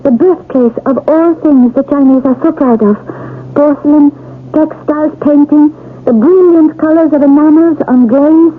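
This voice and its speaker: An actress playing a troubled wife in a trance, speaking in a solemn, halting, dramatic manner in a 1970s radio mystery. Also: female voice, high voice, slight background noise, lightly acted. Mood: trance-like, foreboding. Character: troubled wife in a trance, bleakly foretelling doom. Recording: tinny old radio broadcast, music behind.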